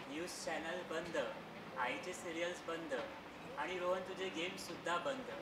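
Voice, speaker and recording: Old grandfather played by a man, strict, kindly, measured stage delivery, far from mic, heavy noise. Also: fairly high pitch, natural voice. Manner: measured, instructive, admonishing. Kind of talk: stage play acting, reading out a letter. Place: theatre stage in an auditorium. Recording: echoey auditorium, distant mic, loud noise.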